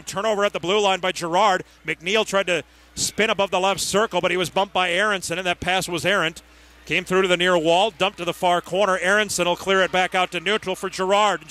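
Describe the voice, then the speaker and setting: High, bright-voiced hockey play-by-play man. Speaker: radio play-by-play hockey announcer. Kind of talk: live sports play-by-play commentary.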